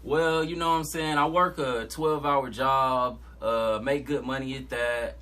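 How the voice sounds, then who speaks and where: A man's voice: medium pitch, steady tone, measured pace, bright, forceful tone. A young man from Georgia, a rapper talking casually and laid-back in a podcast interview. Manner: laid-back, talks in slang. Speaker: guest rapper.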